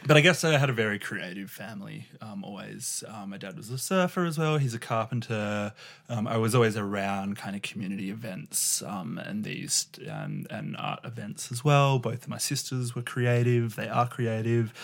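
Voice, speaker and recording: A young Australian man talks as a guest in a radio studio, his low voice carrying a gravelly edge. He is hesitant, dotting his sentences with ums and uhs, and pokes fun at himself. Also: expressive, normal speed, a bit bright. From Western Australia. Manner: hesitant, many ums and uhs, self-deprecating. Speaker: guest, arts curator. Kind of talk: casual interview chat.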